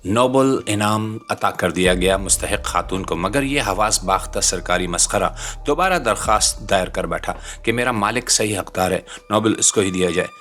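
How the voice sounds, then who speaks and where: Pakistani man, low sharp voice, indignant scripted political voice-over, dramatic and formal, faint music underneath. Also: normal speed. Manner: indignant, dramatic rhetorical delivery with formal literary vocabulary. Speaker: commentator, channel presenter.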